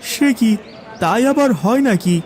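High-voiced, humble poor villager. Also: male voice, lively intonation, slow pace, darkish tone, exaggerated voice. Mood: grateful, eager. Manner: pleading, plaintive, earnest. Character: poor, humble, devoted village son and husband. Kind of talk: animated fairy tale acting.

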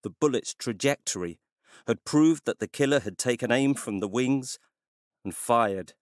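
A man narrating a literary story in a measured, scripted way. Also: medium pitch, lively intonation, no room sound, studio quality.

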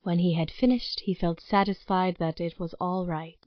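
A woman narrates a dramatic tale in a fairly low voice. Her delivery is formal, scripted and deliberate. The recording is dry, with a slightly muffled top end. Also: steady tone, no room sound.

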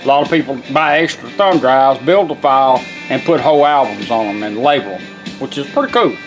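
A man's voice: medium pitch, fairly quick, soft background music, poor audio. A man giving a casual, folksy, step-by-step product demonstration in an informal way. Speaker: vintage car radio restorer demonstrating. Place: indoor workshop bench.

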